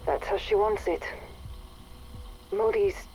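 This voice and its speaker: A woman's voice: medium pitch, fairly even intonation, slow, warm tone, slight background noise, poor audio. An actress playing an anxious, isolated female researcher who confides in a half-whisper in a game audio log. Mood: uneasy.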